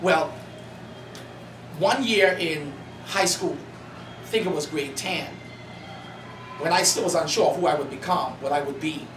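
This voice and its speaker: Bahamian-born man sharing his testimony. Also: on the high side. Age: 30s. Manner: earnest storytelling, rising to animated emphasis. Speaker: author and pastor. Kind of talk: personal testimony at a bookstore event. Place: coffee cafe in a shopping mall. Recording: roomy, distant, noisy cafe recording.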